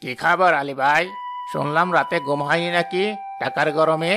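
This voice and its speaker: A man's voice: on the high side, steady tone, moderate pace, over music, close to an everyday voice. A man voicing a greedy, scheming village man in a cartoon, coaxing and mocking as he speaks. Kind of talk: animated moral story dialogue.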